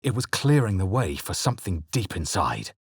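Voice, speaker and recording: A brooding fantasy game hero with a very low, husky voice. He recounts dark visions in measured, ominous narration, recorded close and dry. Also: sing-song, no room sound, exaggerated voice. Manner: measured, solemn, ominous storytelling. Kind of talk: dramatic first-person scripted game narration.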